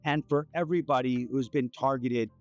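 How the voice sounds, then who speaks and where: A man's voice: medium pitch, fairly even intonation, moderate pace, muffled recording, faint music behind. A man answering interview questions spontaneously, repeating phrases for emphasis. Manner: emphatic repetition.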